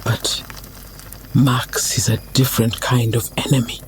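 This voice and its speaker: A woman playing a wise elderly village elder and guardian who speaks slowly and solemnly. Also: a bass voice, level tone, slight background noise, rough recording. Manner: slow, solemn.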